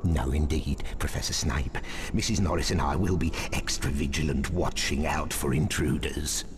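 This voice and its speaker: A man's voice: a bass voice, fairly even intonation, moderate pace. An actor playing a suspicious, petty old male caretaker in a video game who scolds students and fawns over his superiors. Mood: servile. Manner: scolding, wheedling. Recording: game audio with hiss.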